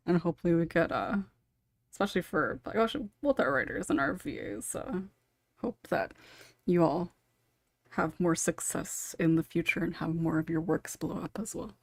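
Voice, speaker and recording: A woman's voice: lowish voice, moderate pace, long pauses, no echo, clean studio sound. A woman hosting a casual panel podcast in a chatty, giggly manner. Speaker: podcast host and scriptwriter.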